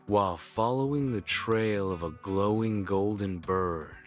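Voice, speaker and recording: Male narrator for bedtime tale: low voice, unhurried, solemn, formal, soft music bed. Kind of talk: scripted bedtime story narration.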